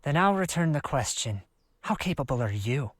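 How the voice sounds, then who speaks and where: A cool confident young artist, slightly husky, dry close sound, visual novel. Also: male voice, fairly high pitch, very animated, moderate pace, no room sound. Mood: defensive, cool. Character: laid-back, confident young male famous artist.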